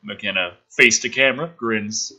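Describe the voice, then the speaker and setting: A man hosting a theatrical script reading, with dramatic emphasis and sarcastic, exasperated commentary in a rough-edged voice. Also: fairly low pitch, unhurried, pushed and bright. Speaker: host reading and mocking a film script.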